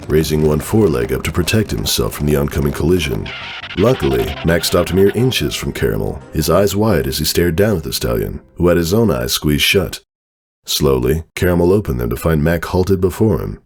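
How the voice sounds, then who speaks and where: A man's voice: rumbling low, darkish tone, slightly rough. A man giving a dramatic storybook narration of a fan fiction tale.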